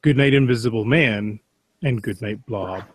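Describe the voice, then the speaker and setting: A man's voice: mid-range voice, moderate pace, husky, dry recording. A man on a podcast reading a parody bedtime story aloud. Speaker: podcast panelist.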